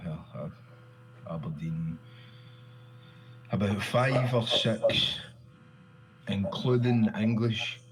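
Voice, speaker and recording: A man's voice: fairly low pitch, a little hiss or hum, poor audio. A Glaswegian Scottish man in casual conversation, talking loosely, repeating himself and swearing freely. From Glasgow, Scotland.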